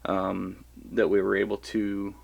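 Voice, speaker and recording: A man giving a relaxed, rambling product review of radios, with lots of fillers and restarts. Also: on the low side, unhurried, vocal fry, dull audio. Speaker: survival gear reviewer.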